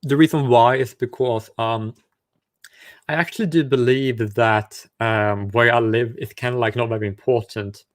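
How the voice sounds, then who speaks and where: A man's voice: mid-range voice, fairly even intonation, moderate pace, dry recording. Hesitant man stumbling over words. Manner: hesitant, stumbling, many restarts; curious, asks questions. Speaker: video chat host. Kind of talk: casual video chat with strangers.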